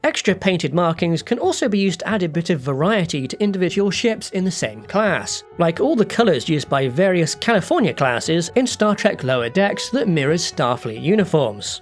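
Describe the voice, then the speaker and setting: Animated, higher-pitched male video essay narrator. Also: normal speed, hardly any pauses. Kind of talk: scripted narration. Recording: music bed behind voice. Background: background music.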